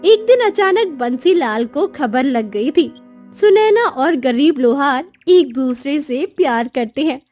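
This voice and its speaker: A woman with a very high voice reads a scripted story. Her narration is slow and lively in pitch, over faint music.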